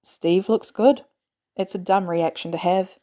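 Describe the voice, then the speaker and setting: A woman reading scripted fiction as an audiobook narrator, with expressive delivery. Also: on the low side, a little slow.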